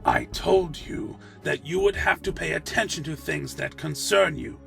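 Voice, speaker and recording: A man playing a stern, loyal vampire officer in a fantasy audio drama, gravelly, haughty and reproachful. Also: fairly high pitch, expressive, measured pace, fairly bright tone, faint music behind, exaggerated voice. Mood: firm, placating. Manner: reproachful, emphatic.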